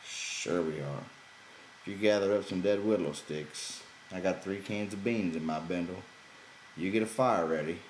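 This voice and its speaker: A man's voice: low voice, moderate pace, natural voice. Man acting a brusque, irritable ranch hand, echoey. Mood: matter-of-fact. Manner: brusque, rustic dialect, exasperated. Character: gruff, irritable, bossy male ranch hand. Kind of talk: character voice in audiobook reading. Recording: roomy echo, background noise.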